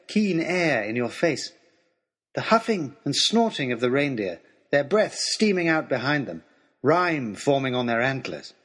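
An earnest gentleman adventurer recounts his travels as a story for his lady. His voice sits a little high and swoops expressively, with a husky edge. The phrasing is formal, wordy and old-fashioned, full of eager devotion. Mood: wistful delight. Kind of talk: dramatised first-person storytelling, as a letter to a lady.

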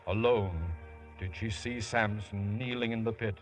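A man's voice: fairly low pitch, measured pace. A man giving solemn, biblical-style scripted narration for a 1950s radio drama. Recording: old radio broadcast over music.

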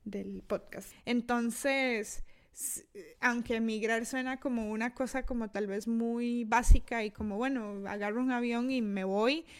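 Young Costa Rican woman, podcast host, fairly high voice, reflective and self-deprecating, lots of "eh" and restarts. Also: steady tone, unhurried, smooth. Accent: Costa Rican Spanish. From Costa Rica. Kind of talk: casual solo monologue.

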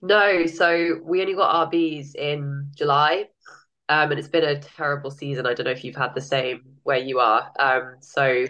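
A woman's voice: rumbling low, fairly bright tone, low-quality recording. A woman guest on a podcast, a bit nasal from a cold, talking enthusiastically and laughing often. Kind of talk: casual interview chat.

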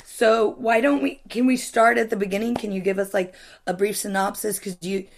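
A woman's voice: medium pitch, fairly even intonation, normal speed, slight background noise. Chatty woman podcast host. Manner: playful, laughing, chatty. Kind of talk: casual podcast chat.

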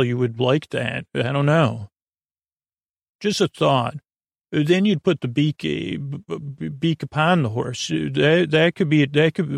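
Rambling, creaky-voiced man hosting a podcast. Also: mid-range voice, no room sound, clean studio sound. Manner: meandering, halting, stammering with trailing tangents; whimsical, mock-earnest musing. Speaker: podcast host. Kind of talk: rambling bedtime podcast monologue.

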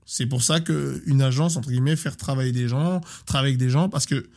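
A man in his thirties, a sports coach, talking casually in an interview, animated and often repeating and restarting words. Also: medium pitch, a little fast. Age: 30s.

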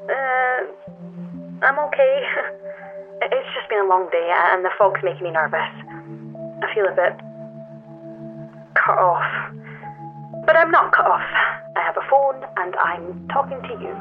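A woman's voice: mid-range voice, animated, slow, pauses often. A tired, nervous young woman climber in an audio drama. She talks in a hesitant, understated way, grateful and self-deprecating. Her voice comes through a narrow, tinny phone line with faint music underneath. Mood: tired, tender. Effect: telephone filter. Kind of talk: naturalistic audio drama dialogue.

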